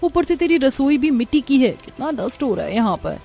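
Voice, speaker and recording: A woman's voice: sits high, very animated, brisk, creaky, warm tone, faint music behind, full character voice. A woman voicing snobbish, disdainful young women in a Hindi cartoon story, complaining haughtily. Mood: disgusted, haughty. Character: snobbish, disdainful young female friends of poor girl.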